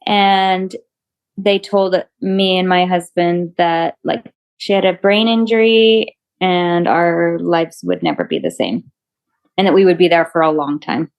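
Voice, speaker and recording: A middle-aged woman, a mother and interview guest, telling events in a steady, casual way and filling in details. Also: on the low side, measured pace. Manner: steady, fills in details gently. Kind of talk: casual storytelling.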